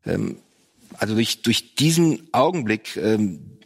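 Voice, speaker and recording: A man, a pastor and father, speaking thoughtfully on a discussion panel with frequent pauses and fillers. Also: on the low side, very animated, gravelly, breathy edge. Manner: reflective, halting, with many fillers. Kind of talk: panel discussion on parenting and faith.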